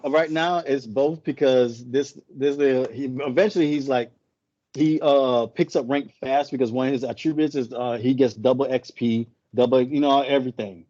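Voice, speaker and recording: Rambling man with a mellow, muffled voice. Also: mid-range voice, moderate pace, no echo. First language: not English. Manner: rambling, self-correcting storyteller. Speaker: co-host. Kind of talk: casual podcast chat.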